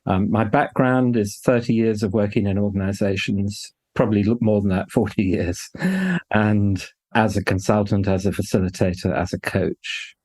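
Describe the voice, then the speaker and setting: A man's voice: lowish voice, level tone, normal speed, a little mellow, dry recording. A man in his sixties, a coach trainer, introducing himself thoughtfully on a podcast.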